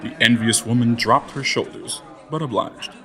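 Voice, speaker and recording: A man's voice: medium pitch, steady tone, normal speed, a bit bright, a faint crowd. A man narrating a scripted gothic audio drama in a florid, dramatic manner.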